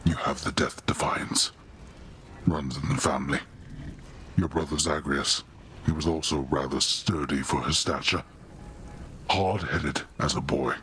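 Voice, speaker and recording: An actor playing a stern, weary god of the dead and imprisoned father in a video game, speaking gravely and with measure. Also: rumbling low, steady tone, a little slow, long pauses, fairly bright tone, slight background noise.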